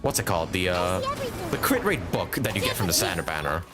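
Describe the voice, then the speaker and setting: Low-voiced, animated man livestreaming a game. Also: quick pace, over loud music. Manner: rambling, thinking aloud, chatty with viewers. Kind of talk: casual live gaming commentary.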